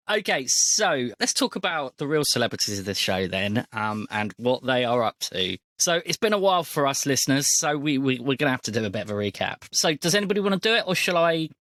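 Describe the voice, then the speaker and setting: A man's voice: medium pitch, big pitch swings, moderate pace, fairly bright tone, no echo. A man hosting a tabletop role-playing podcast in casual, jokey chat.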